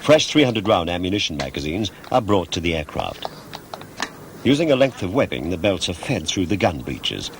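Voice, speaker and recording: A man with a low-pitched voice delivers measured, scripted documentary narration. He explains things formally and precisely. The track is noisy, with a vehicle-like rumble underneath.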